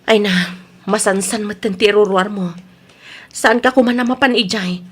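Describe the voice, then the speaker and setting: A woman's voice: medium pitch, normal speed, slightly rough, lightly acted. A woman playing an anxious, protective mother in an Ilocano radio drama, speaking emotionally and pleadingly with an Ilocano accent. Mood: worried. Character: anxious, protective mother pleading over her sick sons.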